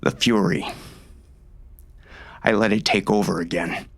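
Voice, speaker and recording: A man's voice: deep voice, steady tone, unhurried, creaky, heavily acted. An actor playing a hard-bitten western sheriff and grieving, furious father, narrating a dramatic audiobook in an intense, menacing way. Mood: grieving, determined.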